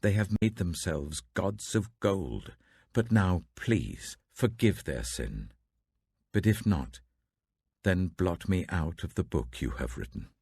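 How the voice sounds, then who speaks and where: A man's voice: sits low, sing-song, slow, gravelly, a little mellow, slightly rough, no echo, heavily acted. A British man voicing Moses as an earnest, troubled leader, emphatic and pleading, in a scripture reading. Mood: anguished pleading.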